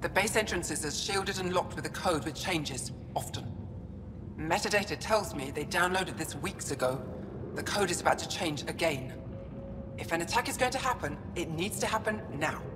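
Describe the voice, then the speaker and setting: A woman's voice: fairly low pitch, animated, normal speed, noisy background, natural voice. An actress playing a driven alien resistance woman who speaks with urgency. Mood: urgent. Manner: exasperated, urgent. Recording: echoey game audio with music.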